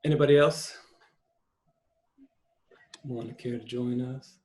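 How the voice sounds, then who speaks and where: A man's voice: mid-range voice, fairly even intonation, slow, a little mellow. Informal man hosting an online discussion. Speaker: host, film school department chair. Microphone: video call.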